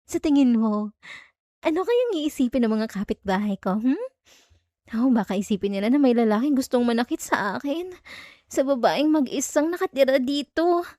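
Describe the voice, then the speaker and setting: High, coy Filipina yandere stalker played by an actress. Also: female voice, warm tone, no echo, studio quality, lightly acted. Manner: coy, teasing, giggly, lingering over words. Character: obsessive, possessive yandere stalker woman, sweetly menacing. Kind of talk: ASMR roleplay, intimate solo acting.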